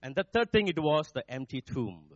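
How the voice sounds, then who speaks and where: A man in his forties, a native Nepali speaker with a Nepali accent, preaching in a halting, searching way as a guest speaker at a church. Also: fairly high pitch, sing-song, no echo. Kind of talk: sermon. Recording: dull, dry sound.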